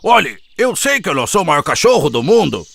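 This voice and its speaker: A man's voice: on the high side, sing-song, fairly quick, creaky, a bit bright, breathy edge, full character voice. A man voicing a kindly, sensible farm dog in a children's cartoon fable, patient and reassuring.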